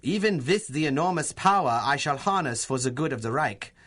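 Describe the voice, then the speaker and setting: An actor playing a gloating Nazi professor with a German accent, pompous and mock-courteous in a radio drama style. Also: male voice, on the high side, lively intonation, fairly quick, fairly bright tone. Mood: gloating menace.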